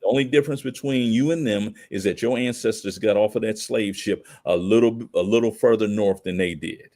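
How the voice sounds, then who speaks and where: A man's voice: on the low side, fairly quick, darkish tone. An American man giving opinionated commentary in conversation as a podcast guest, emphatic and slangy, often posing rhetorical questions.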